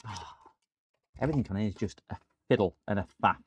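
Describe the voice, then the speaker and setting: A man's voice: fairly low pitch, animated, quick pace, husky, no room sound. British guy rambling on a repair stream, mock outraged and jokey, close dry sound. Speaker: streamer doing electronics repairs. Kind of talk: casual livestream chat and prank phone call.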